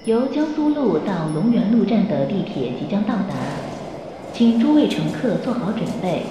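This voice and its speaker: A formal subway announcer. Her voice swings in pitch through a heavily echoing station speaker, amid noisy ambience. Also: big pitch swings, moderate pace. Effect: station public-address echo.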